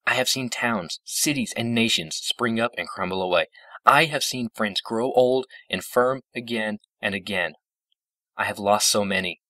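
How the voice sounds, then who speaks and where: Man acting ageless storybook princess, female, solemn, formal, raised pitch, distant mic. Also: bright, forceful tone, dry recording. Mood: indignant, grieving. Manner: formal, grave, measured.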